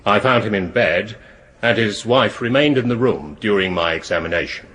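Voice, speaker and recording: Physician: low, rough-edged voice, measured and courteous, period radio drama. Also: male voice, animated, fairly bright tone, poor audio. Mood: measured, professional. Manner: measured, professional. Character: measured, courteous male physician, mildly amused.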